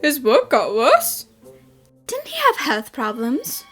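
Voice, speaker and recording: A woman playing a curious, eager young female detective in an amateur anime fan dub, perky and expressive. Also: high-pitched, takes it slowly, soft background music, heavily acted.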